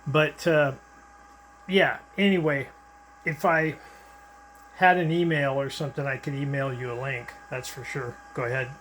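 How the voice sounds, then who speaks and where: A man's voice: medium pitch, steady tone, measured pace, pauses often, fairly bright tone, slight background noise, rough recording. An older American man chatting casually over ham radio in an easygoing, rambling, hesitant way with callsigns and handovers. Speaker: amateur radio operator. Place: indoors at his radio, filming it.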